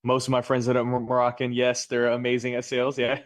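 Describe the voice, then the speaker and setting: Upbeat male instructor, chatty, dry close sound, lively with students. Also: mid-range voice, fast talker, no room sound. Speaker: real estate instructor. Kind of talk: live class teaching and chat.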